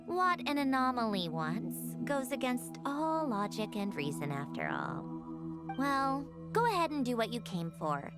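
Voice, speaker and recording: A woman playing a composed, logical young female android in a video game, measured, dry and matter-of-fact. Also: fairly high pitch, wildly swooping pitch, exaggerated voice. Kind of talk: dramatic video game acting. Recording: game dialogue under clear music.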